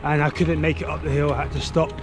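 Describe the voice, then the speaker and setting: A man's voice: a little high, level tone, fast, music in the background. A man in his thirties vlogging while cycling outdoors, out of breath and talking between efforts into a camera mounted on his bike. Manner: breathless talk between pedal strokes. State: out of breath and sweaty. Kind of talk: casual vlog while riding. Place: outdoors on a road bike, open roads. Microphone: action camera mounted on the bike.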